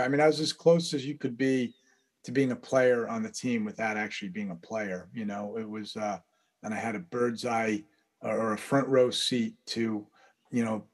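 A man as a podcast guest, telling long stories in a relaxed, rambling way with frequent laughs. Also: medium pitch. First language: English. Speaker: guest, baseball interpreter. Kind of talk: casual storytelling interview.

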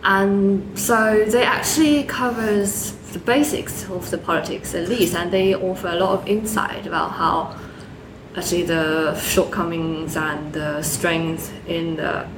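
A woman with a blend of American, Chinese and British accents speaks in a casual discussion. Her voice is slightly low and she often rephrases as she goes. The room echoes, with clear noise in the background. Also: fairly even intonation, measured pace. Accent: mixed American, Chinese and British. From China.

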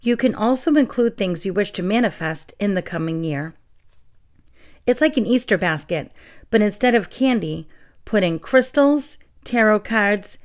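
A young woman from New England hosting a casual solo podcast, chatting and reading aloud while getting over a sore throat. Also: mid-range voice. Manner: chatty and friendly, with fillers and soft laughs. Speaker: podcast host.

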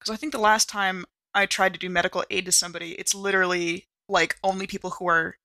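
A woman's voice: fairly low pitch, flat delivery, fast talker, bright, forceful tone, no echo. A woman playing a tabletop role-playing game, chatting informally and thinking aloud as she improvises.

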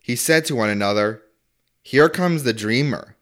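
Guy reading a bible passage out loud, middle-of-the-road pitch. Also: normal speed. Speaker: scripture reader. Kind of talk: scripture reading.

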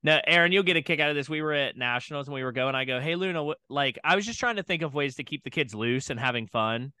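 A man's voice: fairly high pitch, fast talker, bright, forceful tone, clear, resonant tone, no echo. A man who coaches diving, telling an upbeat story with laughs along the way. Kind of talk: casual storytelling.

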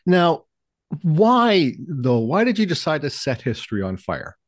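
A man's voice: a little high, wildly swooping pitch, no echo. Dry-sounding male podcast host. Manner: measured, reads intro then brief acknowledgements. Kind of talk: scripted intro then interview.

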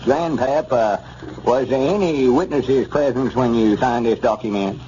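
Male actor as pompous Arkansas backwoods lawyer type, dark mellow voice, halting, muffled old radio recording. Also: mid-range voice, close to an everyday voice. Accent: rural Arkansas. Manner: pompous, halting, throat-clearing, fumbling big words. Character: Lum, pompous, self-important homespun country legal expert. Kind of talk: 1930s radio comedy acting. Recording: muffled, lightly noisy 1930s radio recording.

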